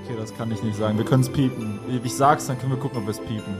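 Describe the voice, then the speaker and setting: A man playing a crude, emotionless ex-convict who talks in a halting deadpan, in an improvised comedy radio play. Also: medium pitch, lightly acted. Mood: hesitant. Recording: loud music with jingle bells behind.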